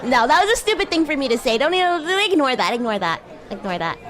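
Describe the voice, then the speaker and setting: A woman's voice: high voice, big pitch swings, fast, a bit bright, a faint crowd. A woman voicing a bratty young demon schoolgirl in a roleplay stream, teasing and stammering when flustered. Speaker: VTuber streamer playing a character. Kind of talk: improvised roleplay livestream, chatting with viewers.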